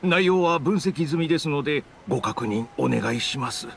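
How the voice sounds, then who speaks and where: A man's voice: on the high side, expressive, moderate pace, creaky, slight background noise. A man voicing a dutiful, polite book editor in an anime game, formal and deferential. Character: dutiful, polite male book editor reporting to his boss.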